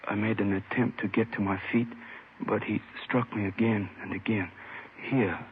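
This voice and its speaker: Man playing a low-voiced, courteous injured jade dealer. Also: fairly even intonation, moderate pace, close to an everyday voice. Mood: pained, polite. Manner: polite, careful, formal, hesitant. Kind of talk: 1950s radio drama acting. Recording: tinny, faintly noisy old radio recording.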